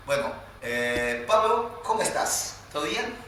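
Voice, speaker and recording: A man's voice: a little high, big pitch swings, takes it slowly, a bit bright, slight background noise. A man from Spain hosting a live interview, asking long, rambling questions. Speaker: host. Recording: echoey room, far from mic.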